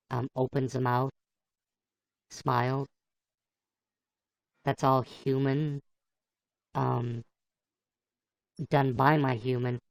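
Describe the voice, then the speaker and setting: A man with a gravelly, voice-changed sound, chatting casually and easygoing as he hosts a livestream. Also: medium pitch, dry recording. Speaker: livestream host playing a computer-generated fox.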